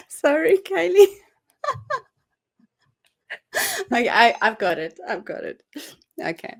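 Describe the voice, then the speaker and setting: A South African woman, a new podcast host, chatting in a warm, slightly nervous way with giggles. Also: on the high side, very animated, slow pace, gravelly. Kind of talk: casual podcast chat. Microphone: podcast microphone.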